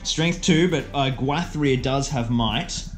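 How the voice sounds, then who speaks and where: A man's voice: medium pitch, takes it slowly. A man giving casual, self-mocking play-by-play commentary as he hosts and plays a tabletop wargame. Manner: jokey, self-mocking, exclaiming at dice rolls. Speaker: player and host of a tabletop wargame video. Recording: music behind the voice.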